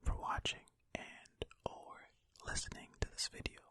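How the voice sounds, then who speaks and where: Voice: a little slow, pushed and bright, no room sound. An ASMR host whispering ear to ear in a tentative, musing way, reading and commenting close to the microphone. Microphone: close stereo ASMR microphone.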